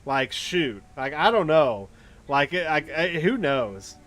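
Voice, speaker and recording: A man's voice: fairly high pitch, very animated, takes it slowly, fairly bright tone. A man reading a visual novel aloud as a let's player, stumbling now and then and adding casual commentary. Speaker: video game let's player. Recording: clear background noise and ambience.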